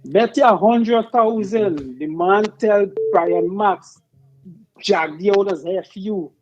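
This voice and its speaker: A man calling in to argue his point insistently, in rapid bursts and saying bro often. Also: high voice, a little mellow. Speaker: caller. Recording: muffled phone line.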